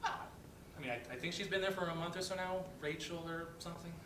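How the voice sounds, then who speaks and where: A man's voice: fairly even intonation, fast, a bit bright, lightly acted. A man playing a wry, outspoken office worker in a dramatic reading of a play, speaking in a conversational, emphatic way. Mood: defensive. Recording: echoey room, distant mic, rumbling noise.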